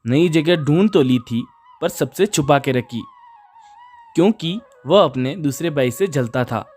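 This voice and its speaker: A man's voice: a little high, animated, a little slow, a little mellow. A man narrating a scripted children's moral story. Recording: music under the voice. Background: background music.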